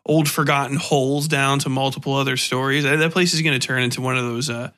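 A man's voice: mid-range voice, fairly even intonation, fast, sharp tone. An American man hosting a podcast interview in casual chat, riffing comically and swearing freely.

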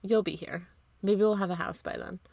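A woman's voice: mid-range voice, fairly even intonation, moderate pace, vocal fry, darkish tone, dry recording. Blunt young woman in dry close sound. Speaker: podcast co-host. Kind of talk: casual chat with swearing.

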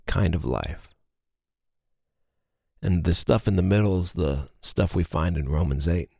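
A man speaking as a pastor in a casual podcast chat, with a deadpan, joking manner. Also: low voice, moderate pace, gravelly, dry recording, clean studio sound.

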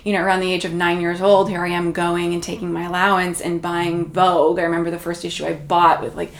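A woman's voice: lowish voice, little pitch movement, quick pace. A woman from Canada, a guest in an interview, talking in a reflective, chatty way with many fillers. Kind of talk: conversational interview. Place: room in Toronto.

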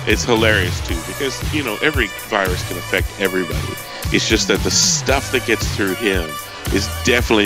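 A man co-hosting a podcast while sick, chatting wryly about everyday life. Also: low-pitched. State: sick, losing his voice. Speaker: podcast co-host. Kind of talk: casual podcast chat.

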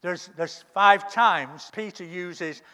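A pastor preaching a sermon in a fervent way, his voice croaky from a cold. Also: sits high, slow, sharp tone, long reverb tail. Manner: fervent preaching, repeats words when building up.

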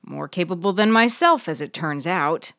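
A woman's voice: on the low side, animated, normal speed, a bit bright, no echo. Lady doing a bossy curt woman in an audiobook, blunt, no filter, dry close sound. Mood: earnest. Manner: blunt, uncensored. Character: curt, agitated, domineering woman bog owner.

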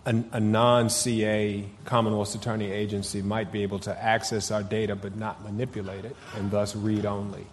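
Official, man, lowish voice, formal and deferential, long room reverb with faint hum. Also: flat delivery. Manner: measured, deferential, says yes ma'am. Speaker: city official answering council. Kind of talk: formal testimony at a public meeting.

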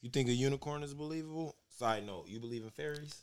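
A man co-hosting a casual podcast, joking around in slangy, playful talk with loud laughs. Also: medium pitch, quick pace, clean tone, dry recording. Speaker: podcast co-host.

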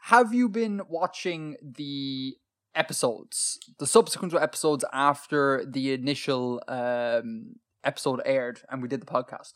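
A man's voice: medium pitch, a little slow, a bit bright. A man co-hosting a podcast in casual chat, talkative and self-deprecating, with plenty of ums. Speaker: podcast co-host.

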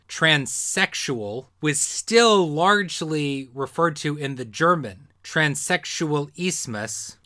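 A man's voice: on the high side, pushed and bright, no room sound, studio quality. A man presenting a history talk in a semi-improvised storytelling style, explaining with emphatic phrasing.

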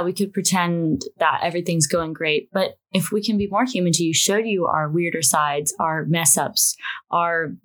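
A young woman with a raspy voice co-hosting a podcast, upbeat and leading the talk. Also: fairly low pitch. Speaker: podcast co-host. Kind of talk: casual chat.

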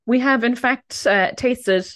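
A woman's voice: on the high side, slow. A woman, in her thirties, hosting a casual wine podcast and laughing often. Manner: laughs often, bubbly and chatty. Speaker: podcast host. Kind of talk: casual wine podcast chat and scripted intro.

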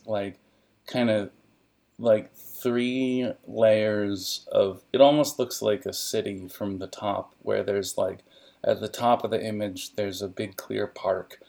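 A low-voiced man with noticeable vocal fry hosts a tabletop game. He talks in a casual, rambling way, painting detailed pictures for listeners with a geeky bent. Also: darkish tone. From California. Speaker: game master and narrator of an RPG podcast.

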